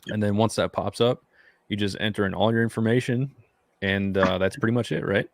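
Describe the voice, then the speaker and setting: A man's voice: on the low side, steady tone, creaky, dry recording. A man hosting a veterans' podcast, chatting casually with lots of fillers. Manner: fast, loose talker with many fillers. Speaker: podcast host. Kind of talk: casual interview chat.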